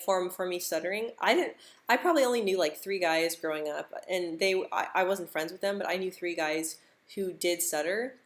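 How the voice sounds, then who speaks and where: A woman's voice: lowish voice, fairly bright tone. Young American woman who stutters. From United States. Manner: stutters often, with repeated words and sounds, and laughs easily. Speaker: vlogger on a live stream. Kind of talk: casual live-stream chat.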